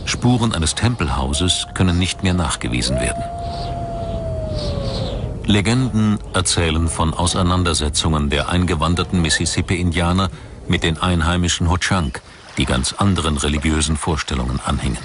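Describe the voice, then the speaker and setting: A male documentary narrator with a low voice reads from a script. His delivery is measured and articulate, and there is clearly audible ambient noise behind him.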